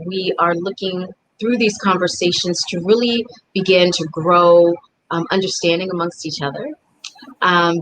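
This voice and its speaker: A woman's voice: fairly low pitch, rough recording. A woman hosting a live online interview series, reading the introduction with frequent fillers in a friendly way. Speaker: host.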